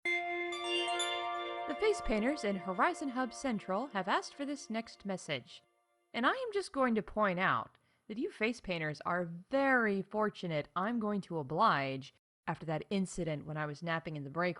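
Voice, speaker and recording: A woman's voice: medium pitch, big pitch swings, a little slow, fairly bright tone, soft background music. A woman playing a chatty, wry theme park staff announcer in a scripted comedy podcast, emphatic and full of conversational asides. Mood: mock indignant.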